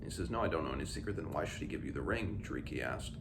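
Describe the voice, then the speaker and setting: A man with a low-pitched voice talks through a book as a read-along host, reading and commenting informally. His phrasing comes in hesitant starts and stops. There is clear background noise in the recording. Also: expressive, very fast. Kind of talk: book discussion with read-aloud passages. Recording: clearly noisy background ambience.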